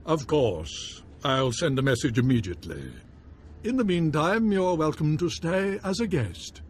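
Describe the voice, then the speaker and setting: A man's voice: on the high side, animated, unhurried, slight background noise. A man playing a gracious noble baron in a video game, speaking in formal, courtly phrases.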